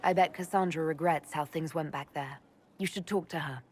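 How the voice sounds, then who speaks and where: An actress playing a composed elf mage leader in a fantasy game, measured and gently teasing. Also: female voice, low voice, close to an everyday voice. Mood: calm, conciliatory. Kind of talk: dramatic video game cutscene acting. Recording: long reverb, faint background ambience.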